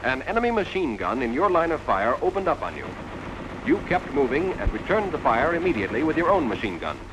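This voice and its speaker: A man's voice: medium pitch, very animated, a little fast, background noise. An American man narrating a 1940s army instructional film, directly instructing the listener in a scripted style on a vintage soundtrack. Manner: direct, instructive, addressing the trainee as "you".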